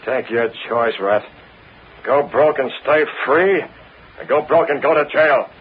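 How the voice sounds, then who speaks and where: A man's voice: medium pitch, slow, slight background noise, lightly acted. Man playing a stern masked vigilante, muffled old radio. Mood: stern, commanding. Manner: clipped, tough, authoritative. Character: masked vigilante hero, stern, commanding, threatening. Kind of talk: old-time radio adventure drama acting.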